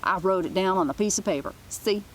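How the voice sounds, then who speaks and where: A woman's voice: medium pitch, fairly quick, lightly acted. A brazen young schoolgirl bully from a storybook, acted out by a woman reader. She is sly and bossy, speaking in a blunt, taunting way over faint background noise.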